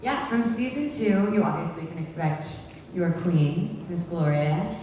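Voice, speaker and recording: A woman with a lowish voice talks in lively, quick bursts about her work. The sound comes from a distance in a large hall with a long reverb tail, over a faint hum. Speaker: actress at a press conference. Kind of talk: panel answers at a press event. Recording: echoey hall, voice from far off.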